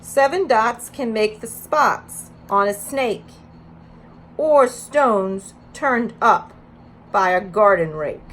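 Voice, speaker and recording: A woman's voice: a little high, expressive, slow, a bit bright, slight background noise. A woman educator giving a read-aloud lesson with teaching tips, speaking in an instructional way and with emphasis when reading. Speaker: early childhood educator.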